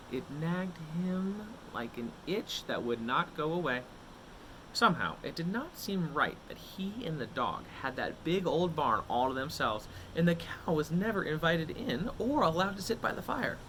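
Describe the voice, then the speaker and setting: A man reading a children's picture book aloud expressively, with dramatic drawn-out words and suspenseful pauses. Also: on the high side, animated, bright, forceful tone, a little hiss or hum, low-quality recording.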